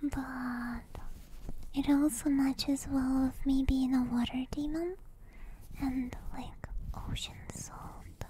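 A woman whispering gently and breathily in an ASMR livestream, close to a binaural microphone. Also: high-pitched, fairly even intonation, slow pace, a little hiss or hum, rough recording. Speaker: streamer, ASMR host. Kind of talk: whispered ASMR roleplay and chat.

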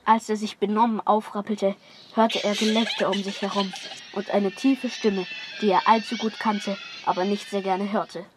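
Female narrator, children's radio play, mid-pitched scripted read with music underneath. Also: level tone, moderate pace.

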